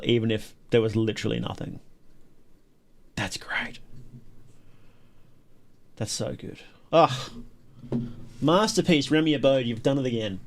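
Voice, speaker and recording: A man hosting a livestream, giving casual, enthusiastic reaction commentary with emphatic repetition. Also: mid-range voice. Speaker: livestream host reacting to videos.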